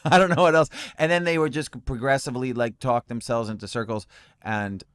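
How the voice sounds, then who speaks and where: A man telling stories as an interview guest, calm and even-toned, with many fillers and frequent laughs. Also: mid-range voice, a little fast, clear, resonant tone. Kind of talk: relaxed conversational storytelling.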